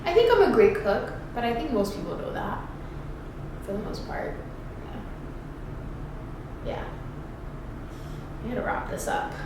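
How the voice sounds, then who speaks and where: A woman's voice: fairly high pitch, sing-song, measured pace, pauses often. Giggly young woman in an echoey room. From Ghana. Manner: playful and giggly, rambling with many fillers. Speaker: YouTuber answering questions. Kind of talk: casual get-to-know-me chat. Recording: echoey room, distant mic, background noise.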